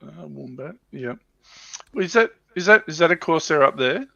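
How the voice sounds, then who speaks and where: A man's voice: fairly high pitch, lively intonation, normal speed, gravelly, sharp tone, no room sound. A man co-hosting a hobby livestream, chatting informally and thinking aloud. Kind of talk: casual hobby chat. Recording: dull lo-fi close dry sound.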